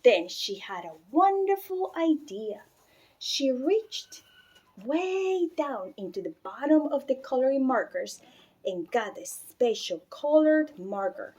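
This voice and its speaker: Woman reading a picture book out loud for kids, high voice, slow and deliberate, really expressive. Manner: animated, deliberate phrasing for children. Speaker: storybook reader. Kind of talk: expressive children's read-aloud.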